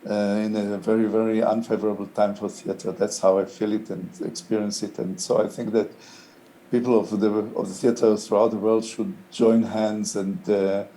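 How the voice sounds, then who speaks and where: An older man with a low, mellow voice speaks English as a second language, his first being Hebrew. He talks slowly and thoughtfully, often pausing on fillers as he gathers his words. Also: level tone, slight background noise, low-quality recording. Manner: thoughtful, halting, many "uh" fillers. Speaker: interviewed playwright.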